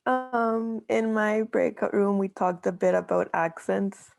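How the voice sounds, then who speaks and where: A woman's voice: medium pitch, fairly even intonation, vocal fry, a little mellow, dry recording, studio quality. A woman from Mexico with a light Mexican Spanish accent, a student sharing hesitantly on a video call. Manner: hesitant, halting. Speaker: student participant.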